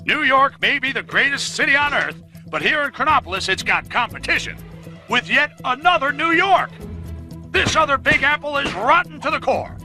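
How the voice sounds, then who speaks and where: A performer voicing a jokey comic-book guide who narrates a game cutscene in a theatrical way. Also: male voice, very high-pitched, expressive, normal speed, pushed and bright, soft background music, exaggerated voice. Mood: wry. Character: wisecracking comic-book guide, self-mocking.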